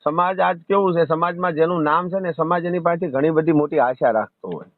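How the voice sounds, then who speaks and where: A man's voice: a little high, brisk, no room sound. Conciliatory Gujarati man on a tinny phone. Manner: persuasive, conciliatory. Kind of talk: informal phone conversation. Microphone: telephone call. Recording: tinny, narrow phone line.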